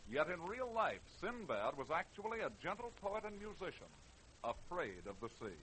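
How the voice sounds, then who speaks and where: A man's voice: sits high, sing-song, normal speed, a bit bright. A man narrating a scripted radio programme in dramatic 1940s style, on a noisy vintage recording.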